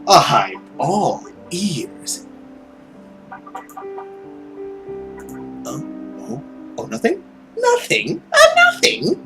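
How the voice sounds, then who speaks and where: Woman playing a slow, mocking male villain, wildly swooping. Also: medium pitch, voice pushed up. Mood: taunting, then flippant, sarcastic. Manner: theatrical, condescending, drawn-out words with snickers. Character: suave, mocking male predator villain who feeds on people.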